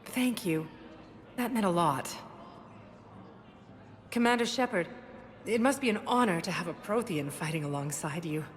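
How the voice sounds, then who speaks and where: An actress playing an earnest, admiring admirer of soldiers in a video game. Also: female voice, fairly low pitch, lively intonation, normal speed, long pauses, big-room sound, lightly acted. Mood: grateful, admiring. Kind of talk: game voice acting.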